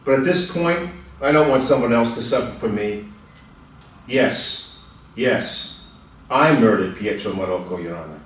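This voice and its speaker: A man's voice: mid-range voice, animated, a bit bright. Man playing a pleading accused murderer in an echoey hall. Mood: anguished, then bitter resignation. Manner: emphatic, pleading, drawn-out outbursts. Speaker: reader playing the accused in a play. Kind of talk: dramatic stage reading. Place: large indoor room at a college festival reading. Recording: echoey hall, far from the mic.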